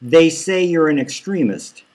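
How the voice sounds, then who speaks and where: A man reading pressing, slow-spoken male interviewer. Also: on the high side, lively intonation, a little mellow, voice pushed up. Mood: accusing. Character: Doctor Bull, earnest probing male television interviewer.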